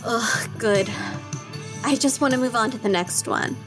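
Woman acting high-voiced, wry, uneasy young friend. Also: measured pace, over music, lightly acted. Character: young woman, game but uneasy thrill-seeking best friend. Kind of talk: horror audio drama dialogue.

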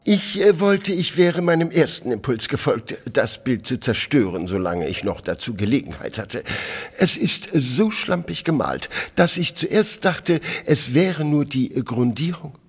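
An actor playing a haughty, disgruntled English gentleman in a German audio drama, speaking stiffly and formally with hesitant fillers and a husky voice. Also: medium pitch, sing-song, measured pace, heavily acted. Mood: indignant, disdainful. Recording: long reverb, music underneath.

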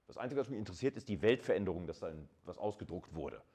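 Male university lecturer, lowish voice with lively swings, informal and direct with listeners. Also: fast, slightly rough. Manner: conversational, addresses listeners directly, checks in with short tags.

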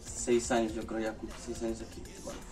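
A man's voice: on the low side, monotone, quick pace, mellow. A man in his twenties from Puebla with a central Mexican accent, chatting casually and a bit hesitantly as a guest. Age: 20s. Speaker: interview guest. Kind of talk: casual chat. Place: small indoor lounge room in a club. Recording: distant mic, clear music behind.

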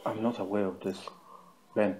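A man with a Nigerian accent acting an easygoing, excitable friend in a screen drama, often repeating words in a stammer. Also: fairly low pitch, normal speed, a little hiss or hum, close to an everyday voice, poor audio. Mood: puzzled. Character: sympathetic, excitable male friend, easygoing and generous.

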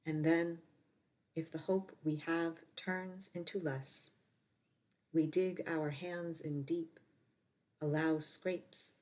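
A woman reads poetry in a slightly low voice at a very slow pace. Her delivery is measured, set out in short deliberate phrases with space between them. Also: steady tone, a little mellow. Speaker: poet. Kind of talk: poetry reading.

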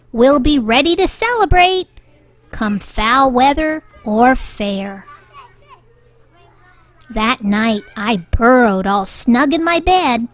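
A woman reading a children's story aloud in an expressive, rhyming sing-song way, with deliberate delivery. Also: fairly high pitch. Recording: music bed under the voice.

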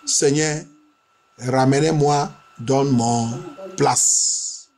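A man's voice: mid-range voice, fairly even intonation, drawn-out words, very noisy. A male pastor praying and preaching fervently, repeating phrases insistently like a chant as he moves between two languages. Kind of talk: fervent charismatic prayer sermon, switching between French and English.

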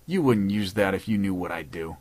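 A man's voice: deep voice, expressive, fairly quick, a little mellow, no room sound. A man voicing a cocky, wisecracking male newspaper columnist with a taunting, sardonic delivery.

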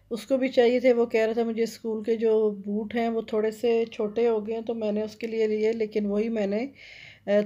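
A woman's voice: medium pitch, dark tone. A middle-aged woman chatting casually as she walks through a large clothing store, gushing over the items and filling her talk with fillers, filmed on a handheld phone. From Pakistan. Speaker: vlogger. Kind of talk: casual shopping vlog narration. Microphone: handheld phone camera. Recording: dull lo-fi phone audio.

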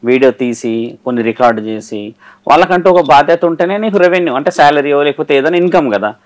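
A man's voice: fairly high pitch, expressive, quick pace. A man speaking as a guest guru, giving direct, didactic and admonishing advice in an interview.

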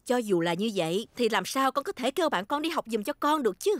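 A woman's voice: a little high, very animated, fast talker, loud music behind the voice. A woman voicing a strict but loving mother in a cartoon dub, emotional and emphatic. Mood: scolding.

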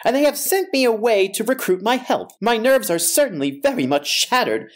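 A woman's voice: fairly high pitch, very animated, normal speed. A woman playing a vain, self-important, easily offended male firework rocket in an audio drama, speaking in a pompous, haughty manner. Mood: indignant self-consolation. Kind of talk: audio drama character acting.

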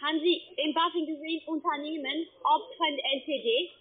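A woman with a foreign accent, a non-native speaker working as a call center agent, insistent and interrupting, with halting phrasing. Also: high voice, fairly even intonation. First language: not German. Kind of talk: phone sales conversation. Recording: tinny, band-limited phone line.

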